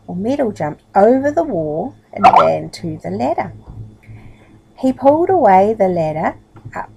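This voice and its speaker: Animated woman reading a children's story. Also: medium pitch, a little slow, vocal fry, very noisy. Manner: animated, chatty asides to a young listener. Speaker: storybook reader. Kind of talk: expressive children's story narration.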